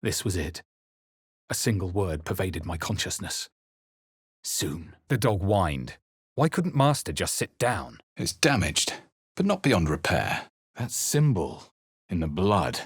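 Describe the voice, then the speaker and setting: A man playing a brooding, wary fantasy adventurer in a video game, with a husky voice and hushed, deliberate, dramatic delivery. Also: deep voice, big pitch swings, no echo, clean studio sound, full character voice. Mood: grave, intent, then watchful, curious. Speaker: video game player-character voice actor. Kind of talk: dramatic scripted game narration and reaction lines.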